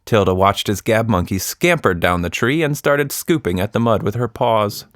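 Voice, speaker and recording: A man narrating a scripted children's story in an expressive storyteller's manner. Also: lowish voice, normal speed, no echo, studio quality. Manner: expressive, animated reading.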